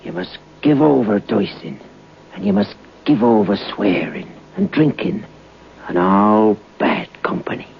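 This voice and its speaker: An actor playing a grave, fretful priest in an old radio drama, earnestly admonishing and lamenting. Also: male voice, lowish voice, sing-song, measured pace, vocal fry, heavily acted. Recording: muffled, hissy old radio broadcast.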